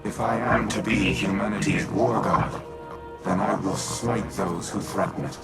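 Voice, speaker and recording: A cold, resolute war machine speaks in a low, gravelly register, weighing each word,. A loud orchestral score plays behind the game dialogue. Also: male voice. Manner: measured, calculated, grave. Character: ancient male warmind AI, cold and resolute. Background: loud score music.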